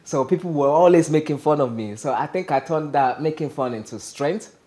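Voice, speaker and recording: A man's voice: on the high side, fairly quick. A man with a Nigerian accent, a stand-up comedian talking casually as a guest in a TV studio interview. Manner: animated, gestures a lot, asks "you understand?". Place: TV studio set.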